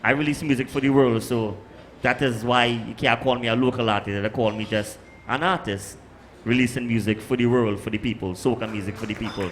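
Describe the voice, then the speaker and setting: A man's voice: medium pitch, fairly even intonation, brisk. A man, a soca artist, making his point on a panel in emphatic, repeated phrases. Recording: live event, faint background noise.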